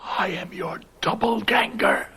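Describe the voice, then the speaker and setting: An actor in a radio horror drama playing an ancient, cursed wandering ghost, menacing and solemn, with a husky, whispery voice and portentous delivery. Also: male voice, high-pitched, pushed and bright, heavily acted. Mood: eerie. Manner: slow, portentous, formal.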